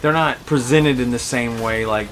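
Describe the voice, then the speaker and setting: A man's voice: mid-range voice, normal speed, a little hiss or hum. A man giving a casual, enthusiastic video game review in a conversational way. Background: game music behind the voice.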